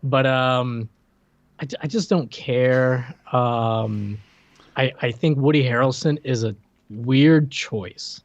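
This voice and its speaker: A man's voice: mid-range voice, takes it slowly, dry recording. A man co-hosting a casual movie podcast, joking around and trailing off mid-sentence. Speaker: podcast co-host. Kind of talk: casual chat about movies. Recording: dry, dull lo-fi mic.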